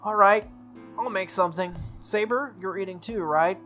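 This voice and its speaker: A man's voice: high-pitched, normal speed, fairly bright tone, pitched above the performer's own voice. A man voicing an earnest, easygoing teenage boy in a visual novel, plain-spoken and conciliatory. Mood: resigned. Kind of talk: visual novel character reading. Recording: music playing behind the voice.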